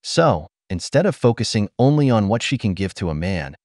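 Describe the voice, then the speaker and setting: Low lecturing male commentary voice. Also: big pitch swings, moderate pace, a little mellow, no echo, studio quality. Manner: lecturing, opinionated. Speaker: commentary narrator. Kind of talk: scripted voice-over commentary.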